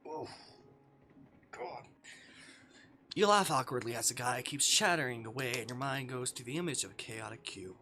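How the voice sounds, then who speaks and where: A man's voice: medium pitch, normal speed, long pauses, sharp tone. A man livestreaming, reading a visual novel aloud and dropping into casual, sweary asides.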